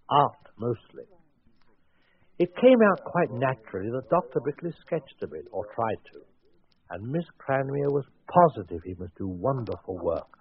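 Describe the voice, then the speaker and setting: Wry, droll storyteller on muffled old radio. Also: male voice, mid-range voice, slight background noise. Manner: droll, theatrical, deliberate. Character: wry male storyteller of a murder mystery. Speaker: narrator. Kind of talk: 1940s radio scripted narration. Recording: muffled vintage 1940s radio broadcast with music.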